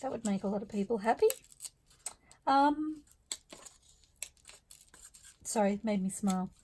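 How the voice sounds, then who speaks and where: A woman losing her voice, talking quietly and thinking aloud as she works on a craft project in her studio. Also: mid-range voice, lively intonation, unhurried, a little hiss or hum. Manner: quiet, murmured asides to herself while working. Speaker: craft vlogger. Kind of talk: soft-spoken craft-along commentary, thinking aloud.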